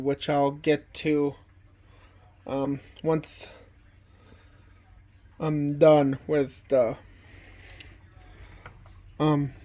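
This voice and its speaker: Male hobbyist vlogger, lightly raised pitch, very unhurried and halting, plain-spoken casual walk-through at home. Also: warm tone. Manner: halting, plain-spoken, pausing mid-sentence. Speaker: hobbyist vlogger showing a collection. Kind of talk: casual unscripted home video tour. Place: indoors at home, walking between rooms.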